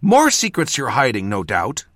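A man voicing a feisty, indignant teenage girl apprentice mage in an audiobook, sharp and impatient. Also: medium pitch, huge pitch swings, moderate pace, dry recording, studio quality, pitched above the performer's own voice. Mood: pressing. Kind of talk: audiobook character voice.

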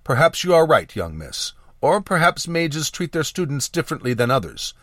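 A man's voice: a little high, big pitch swings, normal speed, fairly bright tone, no room sound, clean studio sound, voice pushed up. A man voicing a scary, suspicious male pirate in a fantasy audiobook, growling yet formally courteous. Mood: countering.

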